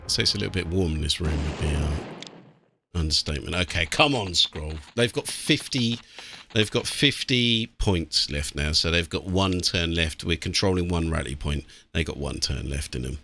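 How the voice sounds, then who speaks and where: A man's voice: sits low, normal speed, gravelly, bright, forceful tone, slight background noise. A British man giving casual gameplay commentary with blokey, sweary banter. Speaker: let's play host. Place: small warm room indoors. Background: game music.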